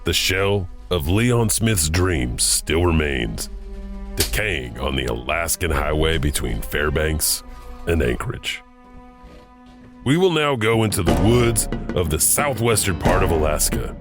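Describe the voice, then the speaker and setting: A man narrating urban legends in a measured, ominous manner. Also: sits low, expressive, soft background music. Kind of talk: scripted horror narration.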